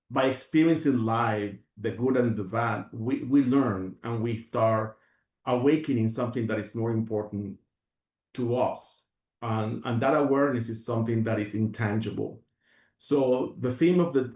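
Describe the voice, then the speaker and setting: Guest from Venezuela, earnest rambler with restarts, a bit far off the mic. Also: male voice, medium pitch, darkish tone, echoey room. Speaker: guest, functional medicine practitioner. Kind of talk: reflective interview talk.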